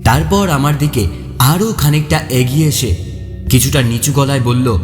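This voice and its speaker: A man narrating a first-person horror story in an expressive, suspenseful, dramatic way. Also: mid-range voice, normal speed. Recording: echoey long reverb, faint music behind. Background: faint music.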